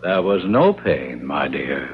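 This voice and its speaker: Suave, swooping devil. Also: male voice, rumbling low, big pitch swings, slow pace, very noisy, heavily acted. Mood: mock regret. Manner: urbane, mock-courteous, theatrical. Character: suave, gloating devil posing as a kindly old man. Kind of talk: 1970s radio drama acting. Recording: dull lo-fi vintage radio broadcast.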